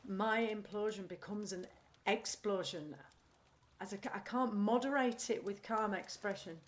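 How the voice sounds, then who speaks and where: An actress playing a troubled, candid, anxious woman in an audio play, halting as she searches for words. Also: mid-range voice, close to an everyday voice. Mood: subdued, confessional. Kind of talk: audio play acting, confessional monologue.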